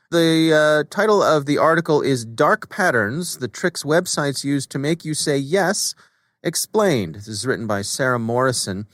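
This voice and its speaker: Podcast host: American man, average pitch, presenter's scripted reads plus easy conversational exchanges, very dry recording. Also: a bit bright, no room sound. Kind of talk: scripted podcast intro and casual co-host chat.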